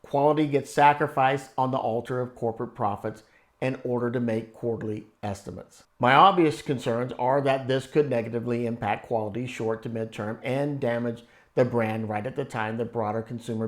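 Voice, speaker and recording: A man hosting an investing channel, reading scripted analysis in a measured, explanatory way with conversational asides. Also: mid-range voice. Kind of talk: scripted stock analysis narration.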